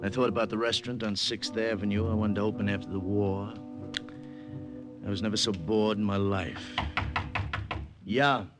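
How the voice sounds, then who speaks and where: A man's voice: lowish voice, steady tone, normal speed, pauses often, lightly acted. Man playing a brash American wartime agent, music behind. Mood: wry, bored. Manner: wisecracking, slangy, breezy. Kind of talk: 1950s radio drama acting and narration. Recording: old 1950s radio broadcast.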